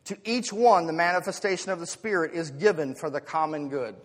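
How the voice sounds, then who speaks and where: A man's voice: fairly high pitch, steady tone, brisk. American male preacher in a reverberant hall. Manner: rhetorical questions, conversational asides, emphatic swells. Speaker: pastor preaching to congregation. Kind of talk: sermon. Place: large reverberant church hall.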